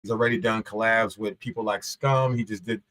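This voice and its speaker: A man's voice: lowish voice, steady tone, a little fast, a bit bright. A man whose first language is Shona, a guest talking casually on a podcast, laid-back and full of slang.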